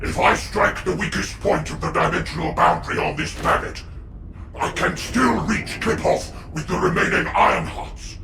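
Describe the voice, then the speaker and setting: An actor playing a proud, obsessed warlord in a video game, gravelly and commanding. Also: male voice, sits high, normal speed, exaggerated voice. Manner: commanding, imperious, forceful. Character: proud, obsessed male warlord sovereign of a dark legion. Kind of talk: dramatic video game acting. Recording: roomy echo, distant, faint noise.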